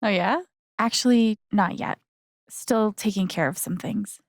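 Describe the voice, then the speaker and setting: A warm, slightly awkward young woman from a narrative video game, played by an actress. She talks slowly and casually, with natural hesitations and a sarcastic, emotional streak. Her mid-pitched voice is recorded very dry. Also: dry recording, lightly acted. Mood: casual, curious. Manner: casual, halting, conversational.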